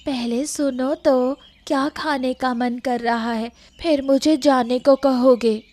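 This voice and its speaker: A woman's voice: high-pitched, steady tone, slow pace, a little mellow. A woman voicing a persistent young village daughter in a cartoon story, coaxing and plaintive. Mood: coaxing, pleading. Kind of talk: Hindi moral-story cartoon dubbing.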